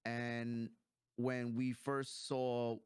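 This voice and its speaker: A man's voice: mid-range voice, little pitch movement, drawn-out words, a little mellow, clear, resonant tone, no room sound, studio quality. A man giving his opinions as a guest in a casual movie review chat, explaining his points as he goes.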